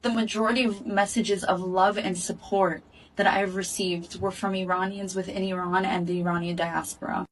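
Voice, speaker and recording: A woman giving an earnest, measured direct-to-camera statement, recorded in Israel. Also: medium pitch, level tone, far from the mic, rough recording. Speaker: activist speaking in a video clip.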